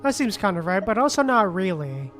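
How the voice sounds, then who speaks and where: A woman streaming a video game, reacting casually as the story plays. Her voice is medium in pitch, and faint game music runs underneath her commentary. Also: sing-song, a little fast. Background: soft game music.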